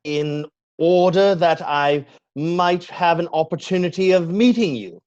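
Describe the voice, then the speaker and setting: A man acts out a smooth-talking young gentleman suitor in a Victorian play. The voice is fairly high, and the recording sounds dull and lo-fi. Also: a little slow, close to an everyday voice. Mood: suave. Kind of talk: dramatic reading of Victorian comedy play.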